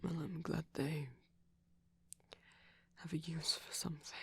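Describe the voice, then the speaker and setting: A man voicing a gentle, caring vampire boyfriend in a husky, breathy whisper for an ASMR roleplay, speaking tenderly and soothingly. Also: a little high, little pitch movement, measured pace, heavily acted. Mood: shy, self-deprecating. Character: gentle, caring male vampire boyfriend, softly self-conscious.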